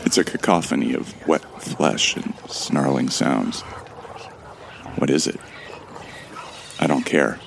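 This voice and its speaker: A man's voice: a bass voice, takes it slowly, vocal fry, pauses often, slight background noise, heavily acted. An actor narrating in first person as a determined man who runs toward his doom, in a grim, resigned audio drama telling. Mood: resolute.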